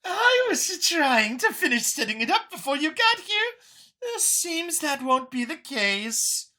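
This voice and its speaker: Man putting on an excitable, high-pitched alien woman. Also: a little slow, heavily acted. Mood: eager, gleeful. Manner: eager, bubbly explaining. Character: excitable female alien inventor, gleeful and eager.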